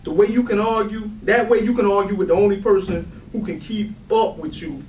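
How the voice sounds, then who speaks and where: High-voiced actor as hurt, defiant son, roomy recording. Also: male voice, fairly even intonation, a little fast, a little mellow, close to an everyday voice. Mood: bitter, defiant. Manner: pleading and confrontational. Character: hurt, defiant grown son of an angry father. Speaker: stage actor in a readers theatre. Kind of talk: dramatic staged play reading. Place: live readers theatre room. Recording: roomy, distant mic with light noise.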